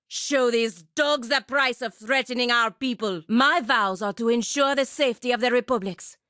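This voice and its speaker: A woman's voice: on the high side, fairly bright tone, dry recording, clean studio sound. A woman playing a proud, bitter, dutiful warrior knight in a video game, speaking with stern, formal intensity. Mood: fierce battle challenge. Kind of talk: video game character acting.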